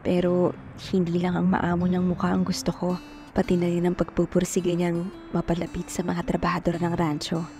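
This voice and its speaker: An actress as a mellow-voiced, earnest young woman in love. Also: on the low side, monotone, moderate pace, lightly acted. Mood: tender, yearning. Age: young adult. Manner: earnest, introspective. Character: poor, determined, lovestruck young provincial working woman. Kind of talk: scripted first-person dramatic narration. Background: music.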